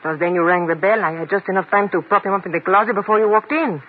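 A woman's voice: mid-range voice, quick pace, lightly acted. An actress playing a cool, mysterious woman who trades in secrets, in 1950s radio drama style. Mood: guarded. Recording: tinny, noisy old radio recording.

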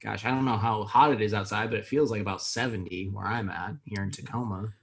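A man co-hosting a casual podcast, easygoing and relaxed as he chats. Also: fairly low pitch, quick pace, slightly rough. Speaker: podcast co-host.

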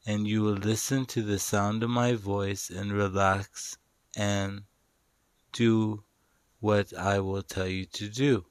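A man leading a guided meditation in a calm, soothing, instructional manner with long pauses. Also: fairly low pitch, clean tone, no room sound. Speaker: meditation guide and show host.

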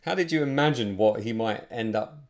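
A man's voice: medium pitch, brisk, darkish tone. A man hosting a podcast, asking eager questions and agreeing often. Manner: enthusiastic, leading questions with frequent agreement. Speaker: podcast host. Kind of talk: casual interview.

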